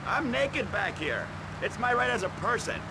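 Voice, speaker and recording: A defiant, earnest male nudist activist argues on a spoof radio panel. His high voice swings widely as he insists and protests, with loud traffic noise underneath. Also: big pitch swings, normal speed, exaggerated voice. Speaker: talk show panelist. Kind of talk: satirical radio talk show acting.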